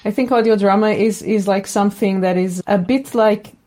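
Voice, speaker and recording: A woman's voice: mid-range voice, fairly even intonation, mellow. A woman being interviewed as a sound designer, talking casually and thoughtfully, searching for words and repeating herself. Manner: halting, searching for words with repetitions.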